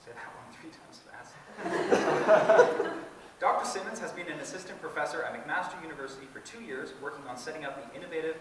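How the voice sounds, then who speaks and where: A man's voice: a little high, a little fast. A man moderating a university panel discussion, introducing speakers and laying out the schedule with joking asides. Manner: lively, joking asides while reading introductions. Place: large lecture hall. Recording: echoey hall from far off, faint hiss.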